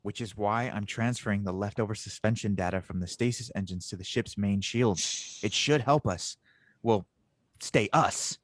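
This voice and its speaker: A man's voice: fairly low pitch, normal speed, dry recording, natural voice. A man playing an earnest, stubborn young inventor in a space audio drama, urgent and insistent. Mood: determined, tense. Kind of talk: audio drama table read.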